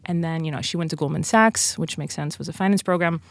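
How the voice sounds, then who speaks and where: A woman's voice: low voice, fast talker, dry recording, studio quality. A woman in her thirties with a Dutch accent, chatting informally as she answers podcast interview questions and tells stories. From Netherlands. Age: 30s. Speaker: podcast guest, company co-founder.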